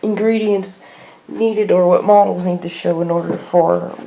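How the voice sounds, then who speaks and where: Creaky-voiced woman in a noisy home video. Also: fairly low pitch, steady tone, mellow. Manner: unscripted, halting delivery. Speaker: weather radio hobbyist vlogger. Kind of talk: casual show-and-tell narration. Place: indoor room at home. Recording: noisy homemade video with clicks.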